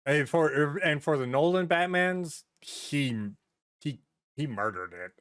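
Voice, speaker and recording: A man's voice: on the high side, animated, takes it slowly, fairly bright tone, clear, resonant tone, no echo, clean studio sound. A man hosting a podcast in casual pop-culture chat, speaking in an informal, sweary, emphatic way. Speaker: podcast host.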